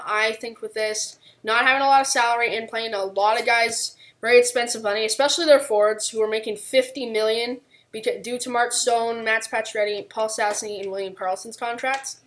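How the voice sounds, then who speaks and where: A woman's voice: on the high side, moderate pace, hardly any pauses, a bit bright. A woman co-hosting a podcast, talking through unscripted sports analysis. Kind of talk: unscripted hockey analysis discussion.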